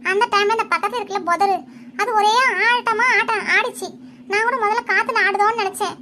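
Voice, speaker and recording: A woman's voice: very high-pitched, steady tone, a little fast, bright, forceful tone, slight background noise. A woman voicing a quarrelsome, tearful village housewife in a Tamil cartoon, complaining and accusing as she tells her story. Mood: lamenting.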